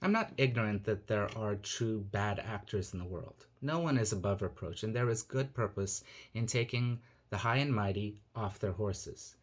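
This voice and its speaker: A man raised in Nigeria and the United States reading his own reflective personal essay in a measured, earnest manner. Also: on the low side. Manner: measured, earnest, thoughtful delivery.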